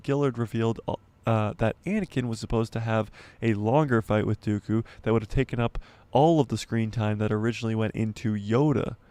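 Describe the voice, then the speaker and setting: A man hosting a casual livestream, reading an article aloud and commenting as he goes, stumbling and correcting himself, his voice going hoarse. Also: fairly low pitch, mellow, no room sound. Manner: rambling, stumbles and self-corrects, laughs at himself. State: losing his voice, clearing throat. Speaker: YouTube livestream host.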